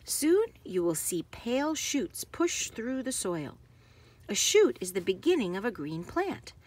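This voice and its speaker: A woman reading a children's book aloud with careful, expressive phrasing for young listeners. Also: a little high, a little slow.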